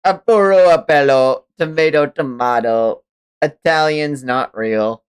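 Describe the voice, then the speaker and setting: A man's voice: a little high, unhurried, smooth. A man hosting a live read-along, reacting casually and expressively, with exclamations and some swearing. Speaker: livestream host reading manga aloud.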